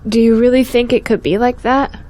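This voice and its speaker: A woman's voice: fairly high pitch, fairly even intonation, a little fast, mellow, lightly acted. Earnest young woman, devout, troubled conscience, insistent, audio drama dialogue, acted by a woman. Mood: troubled, unsure.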